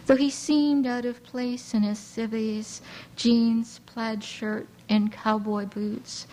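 A woman poet raised speaking Hawaiian Pidgin English, giving a measured reading of her poems with halting asides between them. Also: fairly high pitch, a little mellow, low-quality recording. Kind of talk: poetry reading to an audience.

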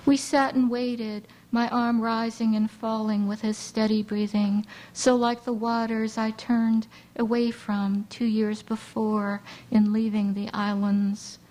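Woman poet, Hawaiian Pidgin native, slightly high voice, unhurried reading with soft asides. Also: level tone, poor audio. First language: Hawaiian Pidgin English. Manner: measured, unhurried reading with soft, halting asides between poems. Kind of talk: poetry reading to an audience.

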